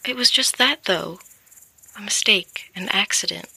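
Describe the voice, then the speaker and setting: A sympathetic woman in a naturalistic audio drama. She talks gently and soothingly in a bright voice with plenty of gravelly creak and rasp. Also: medium pitch, a little slow, dry recording, heavily acted. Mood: reassuring. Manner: gentle, reassuring. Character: sympathetic, soothing woman confiding with a troubled man.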